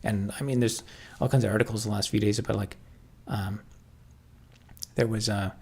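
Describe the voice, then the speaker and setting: A man with a Canadian accent chatting casually on a livestream, answering viewers and thinking aloud in halting, restarting sentences. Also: lowish voice, fairly even intonation, creaky, a little mellow, breathy edge.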